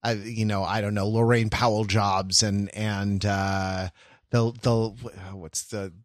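A man's voice: on the low side, level tone, takes it slowly, dry recording, studio quality. A man co-hosting a podcast, chatting casually with a wry, sardonic manner. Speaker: podcast co-host. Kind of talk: casual conversational commentary.

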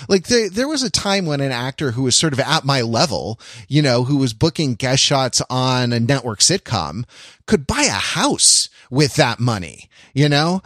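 A man's voice: medium pitch, big pitch swings, normal speed, a bit bright, dry recording, studio quality. American man rambling in casual podcast discussion. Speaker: podcast co-host.

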